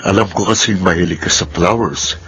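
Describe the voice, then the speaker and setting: A man's voice: sits low, a little fast, a bit bright, dull audio. A man playing an earnest, lovelorn bachelor businessman in a romantic radio drama, tender in manner, with music underneath.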